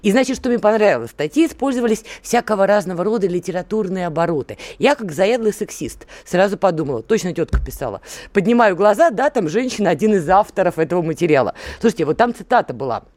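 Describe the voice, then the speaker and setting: A woman's voice: medium pitch, expressive, fast talker, a little mellow, no echo. A woman with a Russian accent hosting a conversational political talk show in a playful, ironic manner. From Ryazan, Russia. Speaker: host interviewing a guest.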